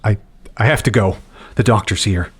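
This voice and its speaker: A man playing a shaken, disbelieving hero in an audio drama, speaking haltingly. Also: fairly low pitch, husky. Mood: flustered. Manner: halting, stunned.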